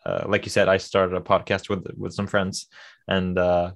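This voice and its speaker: A young man from Sweden with a light, Americanized Swedish accent, chatting casually as a podcast guest and pausing on many fillers. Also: sits low, little pitch movement, vocal fry. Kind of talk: casual chat.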